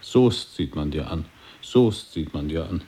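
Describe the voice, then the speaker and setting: An actor answering every question twice in a call-and-response radio play. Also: male voice, rumbling low, lively intonation, a little slow, mellow, heavily acted. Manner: repeats each answer twice. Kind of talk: sound-poetry call and response.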